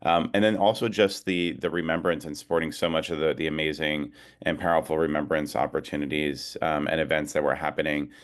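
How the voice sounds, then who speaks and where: A man speaking informally as an advocacy leader on a video call, reflective and hesitant, pausing often as he gathers his thoughts. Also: rumbling low, level tone. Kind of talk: informal talk at an online rally.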